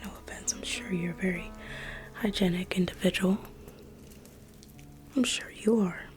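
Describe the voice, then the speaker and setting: Young woman whispering asmr museum tour, teasing salesy vibe, soft music behind. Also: on the low side, fairly even intonation, moderate pace. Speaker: ASMR creator playing a museum guide.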